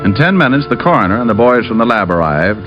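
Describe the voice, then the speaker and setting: A man's voice: mid-range voice, very animated, fast, lightly acted. A man playing a wry, easygoing private detective, wisecracking and narrating in 1950s radio drama style. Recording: dull old radio recording, music behind.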